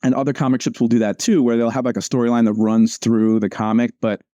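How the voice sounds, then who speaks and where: A man talking casually about his comic strips, rambling and restarting his sentences with many fillers. His voice is mellow and relaxed, and the sound is dry and close. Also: medium pitch, fast, dry recording. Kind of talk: casual chat over a video call.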